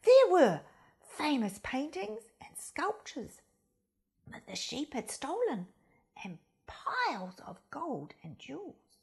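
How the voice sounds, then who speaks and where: A woman reading a children's story aloud in a dramatic, deliberate way, with hushed emphasis. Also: high voice, wildly swooping pitch, gravelly.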